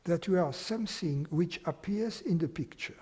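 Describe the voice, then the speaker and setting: A man leading a guided meditation, speaking slowly with long pauses between phrases. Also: high voice, warm tone, breathy edge, in a large hall. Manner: slow, unhurried, with long pauses. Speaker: meditation teacher.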